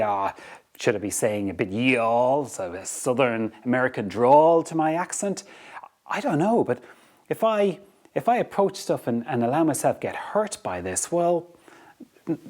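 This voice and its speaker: A man's voice: medium pitch, a little mellow. A man with an Irish accent hosting a motivational talk on leadership, earnest and repetitive, often checking in with "okay?". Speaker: podcast host.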